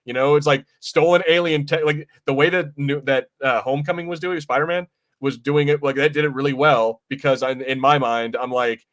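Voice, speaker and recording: A man's voice: fairly high pitch, steady tone, brisk, a bit bright. A man co-hosting a podcast, chatting casually about movies and often rephrasing himself mid-sentence. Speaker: podcast co-host. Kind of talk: casual movie review chat.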